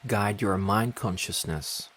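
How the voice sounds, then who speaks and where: Slow, low-voiced man guiding meditation. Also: fairly bright tone. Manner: deliberate short phrases with long pauses. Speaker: meditation guide. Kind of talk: guided meditation narration.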